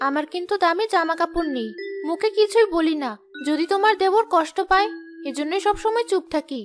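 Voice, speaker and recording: Young cartoon village wife, really high girlish pitch, whiny and longing, music behind. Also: expressive, a little fast. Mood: complaining. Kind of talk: cartoon dialogue.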